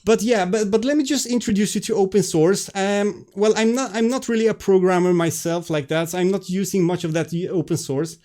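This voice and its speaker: A man's voice: high-pitched, brisk, few pauses, darkish tone. Flustered Swedish man on a live stream. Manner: flustered, apologetic, many restarts and fillers. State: stressed. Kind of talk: casual live stream chat. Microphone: webcam.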